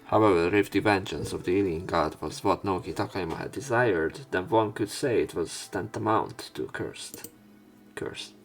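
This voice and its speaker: Male let's play narrator: low pitch, story-reading delivery, faint music behind. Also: moderate pace, creaky. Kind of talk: reading visual novel script aloud, dramatic narration. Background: faint game music.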